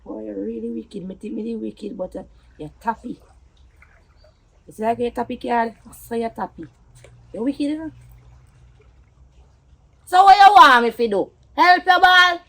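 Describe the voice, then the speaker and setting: Woman playing scornful jamaican woman in a drama, high pitched, scolding, says things over and over. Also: animated, moderate pace, hardly any pauses, a bit bright, rough recording. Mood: bitter. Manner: scolding, repeats words for emphasis. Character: feisty, scornful woman quarrelling with her lover.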